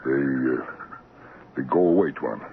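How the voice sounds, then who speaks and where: A shrewd, unrepentant trading post assistant in an old radio drama, with an extremely low voice. He speaks in terse, simple phrases with measured calm. The recording is muffled and noisy, like a vintage broadcast. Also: vocal fry, full character voice. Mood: deferential, evasive.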